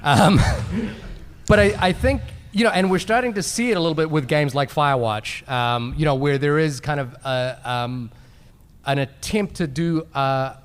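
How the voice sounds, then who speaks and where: A man's voice: a little high, normal speed, a little hiss or hum. A man speaking casually and thoughtfully on a live panel in a large convention hall.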